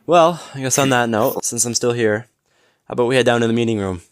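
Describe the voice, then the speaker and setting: A man playing a smug, flirtatious businessman in an audio roleplay, teasing and smooth-talking with mock politeness. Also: medium pitch, fast, dry recording, studio quality, natural voice. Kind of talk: scripted audio roleplay acting.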